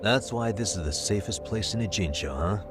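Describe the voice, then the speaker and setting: An actor playing a wry, level-headed detective in a video game dub, speaking in a dry, conversational way. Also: male voice, lowish voice, expressive, brisk, close to an everyday voice. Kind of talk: English-dub video game cutscene acting. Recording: music behind the voice.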